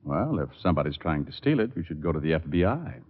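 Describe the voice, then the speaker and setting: A male private eye from a vintage radio play, cool and courteous. The voice is low and lively in its swings, with a thin, phone-like old recording sound. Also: quick pace, heavily acted. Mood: businesslike. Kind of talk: 1940s-50s hardboiled radio drama acting.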